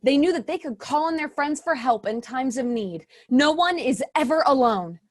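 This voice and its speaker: A woman's voice: sits high, animated, moderate pace. A woman narrating a fairy tale stage play in a storybook manner. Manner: storybook narrating with an ironic aside.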